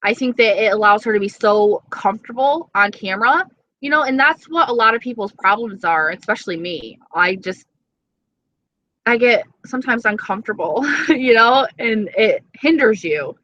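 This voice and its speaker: A woman's voice: fairly high pitch, expressive, normal speed, pushed and bright, no echo, dull audio. A young woman with an East Coast accent chatting casually on a live stream, reading viewer comments and swearing freely. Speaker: beauty vlogger.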